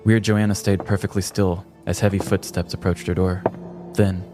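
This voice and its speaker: A man narrating a scripted true-crime comedy podcast in a theatrical, deliberate storytelling manner. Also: low voice, flat delivery, music in the background.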